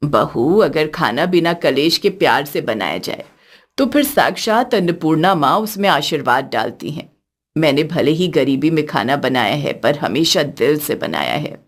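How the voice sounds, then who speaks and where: A woman voicing an elderly, wise and affectionate village mother-in-law in a cartoon story, speaking in a measured, earnest way. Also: low-pitched, sing-song, voice pushed down, heavily acted. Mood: warm, humble.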